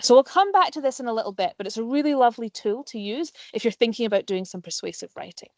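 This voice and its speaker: A woman teaches pupils over a video call, speaking in a friendly, explanatory way and filling pauses with ums. Her voice sits a little high. The sound is close and dry, with no room around it. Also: expressive, no echo. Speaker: nature educator. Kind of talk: live online lesson for pupils. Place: plant nursery.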